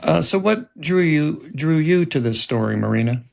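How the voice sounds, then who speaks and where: A man hosting a conversational interview, asking his questions in a halting way. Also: a little high, lively intonation, creaky, darkish tone. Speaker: interviewer, host.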